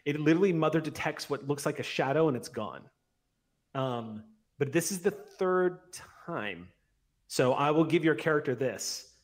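A man in his forties narrating a tabletop roleplay game as game master, in a measured, deliberate way. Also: fairly high pitch, lively intonation. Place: indoor room at home, on a video call. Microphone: close desk microphone on an arm.

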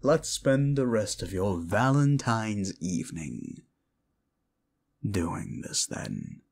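Guy doing a low gravelly demon, super condescending and mocking, drags his words out, audio roleplay. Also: sing-song, darkish tone, full character voice. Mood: contemptuous. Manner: condescending, mocking, drawn-out theatrical delivery. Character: condescending, cruel male demon summoned as a date. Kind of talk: scripted audio roleplay addressed to the listener.

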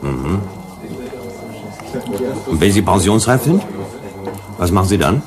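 A man's voice: low voice, big pitch swings, slow pace, a crowd in the background, heavily acted. An actor playing a suave, probing male visitor who teases and flirts in a German science-fiction radio drama. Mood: probing, flirtatious. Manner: teasing, questioning, ironic.

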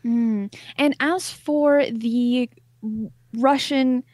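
A woman in her thirties hosting an interview, asking questions in a thoughtful, halting way. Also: high-pitched, fairly even intonation, darkish tone, no room sound, clean studio sound. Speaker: host, interviewer. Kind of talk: conversational interviewing. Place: indoor room, video call.

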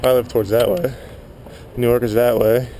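Casual male tourist, terse offhand sightseeing comments, mid-pitched, body-cam sound with loud noise. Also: little pitch movement, normal speed. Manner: offhand, pointing out sights in short remarks. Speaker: tourist filming with a wearable camera. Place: inside and atop a lighthouse tower. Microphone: body-worn action camera.

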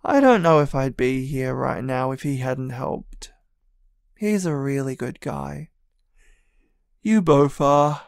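A man voicing a sleepy, tipsy young man who leaves a gentle, drowsy voicemail thanking a friend, in an audio drama. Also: mid-range voice, expressive, takes it slowly, creaky, long pauses, clean tone, dry recording, clean studio sound.

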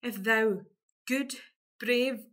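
A woman's voice: fairly high pitch, drawn-out words, a bit bright. A woman playing a sweet, modest young country lass with a broad rustic Scottish accent in a farcical radio play. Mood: tender, earnest.

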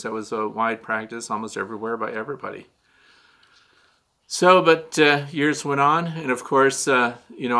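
A man's voice: medium pitch, pushed and bright. A man in his sixties hosting a beekeeping question-and-answer show, explaining in a chatty way with frequent fillers. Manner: chatty, gestures a lot, many "uh" fillers. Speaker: beekeeping Q&A host. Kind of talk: casual explanatory talk answering viewer questions. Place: small dim studio room with shelves.

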